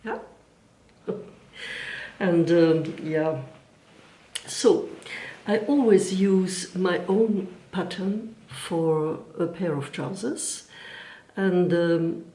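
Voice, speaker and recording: A woman from Belgium whose first language is Dutch, speaking English with a Flemish accent and hosting her craft channel, gentle and sometimes searching for her words. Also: fairly low pitch, extremely slow, pauses often, echoey room, poor audio. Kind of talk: casual chat to camera.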